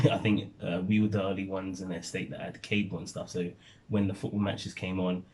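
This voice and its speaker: A young man from Nigeria telling stories in relaxed casual chat, laughing often as a vlogger. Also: low voice, fairly quick.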